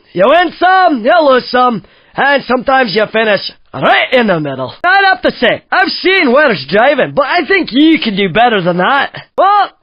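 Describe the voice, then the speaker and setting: An actor playing a cheeky, excitable Scottish driving guide who teases and exclaims in a racing video game. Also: male voice, very high voice, no echo, full character voice. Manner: teasing, exclamatory. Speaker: game announcer coaching racing drivers. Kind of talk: animated racing game commentary. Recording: dry, slightly dull lo-fi game audio.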